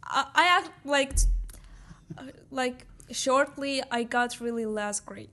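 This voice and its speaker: A woman's voice: high voice, slow, sharp tone. A young woman from Kyrgyzstan with a Russian accent, a student guest speaking hesitantly and searching for her words. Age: young adult. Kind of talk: casual interview chat.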